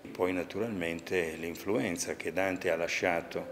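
An older man explains things in an interview, speaking in measured, halting short phrases. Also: sits low, fairly even intonation. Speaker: exhibition spokesman. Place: large museum sculpture gallery, indoors. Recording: reverberant gallery hall, audible background noise.

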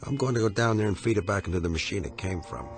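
Prim pompous husband, lowish voice, fussy and easily rattled, radio play w music under. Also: male voice, expressive, fast talker. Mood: indignant. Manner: fussy, pompous, stammering when flustered. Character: prim, self-righteous married man, easily rattled. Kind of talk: radio drama acting.

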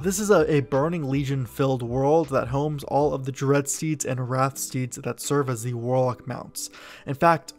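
A man's voice: mid-range voice, a little mellow. A man narrating video game lore in a conversational, matter-of-fact way, explaining it step by step. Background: background music.

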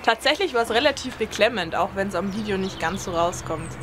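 A woman answering questions to camera as a crew member, measured and lightly ironic. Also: mid-range voice, expressive, over music.

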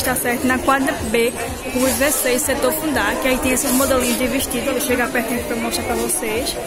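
A chatty Brazilian woman with a Pernambuco accent and a fairly high voice talks straight to her viewers as she walks through the stalls. Her phone catches a loud market crowd around her, with music playing behind. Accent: Brazilian Portuguese, Pernambuco. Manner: chatty, upbeat, addresses viewers constantly. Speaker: vlogger showing market suppliers. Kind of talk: casual shopping tour vlog. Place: busy outdoor clothing market. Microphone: handheld phone camera.

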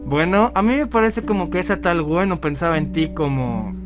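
A woman voicing an excitable, boastful teenage boy in an amateur manga dub, dramatic and cocky. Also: on the low side, normal speed, over music, close to an everyday voice. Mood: curious. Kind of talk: amateur manga fandub acting.

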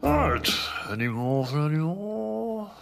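Childlike British cartoon oddball, halting mumbles, creaky, music behind. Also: male voice, a little high, big pitch swings, full character voice. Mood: pleased, giddy. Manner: mumbling, halting, fragmentary self-talk. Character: bumbling childlike eccentric middle-aged man muttering to himself. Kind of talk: cartoon voice acting.

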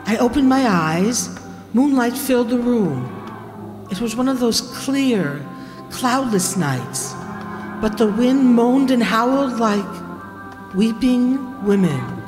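A middle-aged woman playing a frightened woman in an old-time radio horror drama, dramatic and halting. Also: on the high side, lively intonation. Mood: uneasy, hushed. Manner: dramatic, halting, dread-filled. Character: frightened woman recounting an eerie night terror. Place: seated on stage in a community hall. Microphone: boom stand microphone. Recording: echoey hall, loud music behind.

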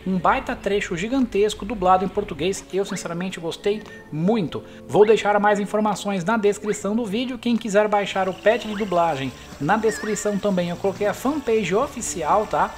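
A man's voice: sits high, normal speed, few pauses. A man with a Brazilian accent giving casual commentary as the host of a gameplay video. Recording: game music behind the voice. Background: video game music.

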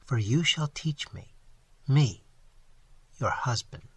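A man's voice: mid-range voice, slow, breathy edge, no echo. A man voicing a bewildered, lovestruck man in a melodramatic storybook reading. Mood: tender. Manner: melodramatic, swinging from dull to fervent. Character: bewildered, lovestruck man, given to impassioned outbursts. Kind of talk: dramatic audiobook character reading.

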